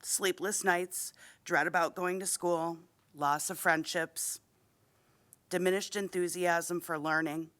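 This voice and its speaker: A woman's voice: lowish voice, fairly even intonation, takes it slowly, a bit bright. A woman, a parent, speaking at a school board meeting into a podium microphone, reading a prepared statement in a formal, earnest way while slightly unwell. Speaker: parent giving public comment.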